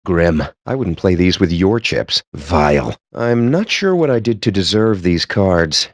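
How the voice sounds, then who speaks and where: A man's voice: deep voice, very animated, measured pace, no echo, clean studio sound, full character voice. A man voicing a sardonic, wordy, nerdy gamer as a video game character, with wry, pompous delivery and dramatic emphasis. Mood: grim, sardonic. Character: sardonic, verbose, nerdy male gamer at a poker table.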